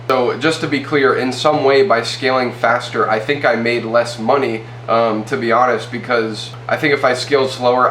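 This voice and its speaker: A young man with a blocked, stuffy nose talks straight to the camera in a quick, loose way full of fillers. His voice sits mid-range and carries the echo of a small bare room. A faint hum runs underneath. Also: medium pitch, a bit bright. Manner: fast, loose, filler-heavy talk. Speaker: e-commerce YouTuber. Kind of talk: casual to-camera update.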